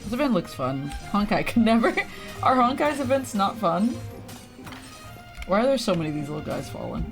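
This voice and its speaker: A woman's voice: medium pitch, big pitch swings, normal speed, over music. A woman livestreaming a video game, chatting casually with viewers in a playful, exclamatory way and muttering to herself as she plays. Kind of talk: casual gaming chat with viewers, reacting to play.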